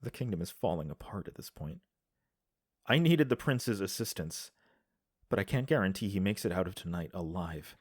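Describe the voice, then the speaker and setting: A man's voice: low-pitched, lively intonation, moderate pace, husky. A man acting as an anxious, idealistic young healer and worried brother in a fantasy audio drama, hesitant and stammering.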